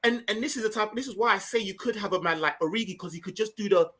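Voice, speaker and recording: A man's voice: high-pitched, fast, pushed and bright. A young man, chatting animatedly about football as a podcast co-host, full of slang and repeating himself for emphasis. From Ghanaian heritage. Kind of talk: casual football chat.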